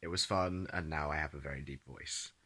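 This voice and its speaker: A man's voice: extremely low pitch, fairly even intonation, brisk, pushed and bright, husky. A young man recording a casual video diary, chatty and trailing off between thoughts. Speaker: vlogger.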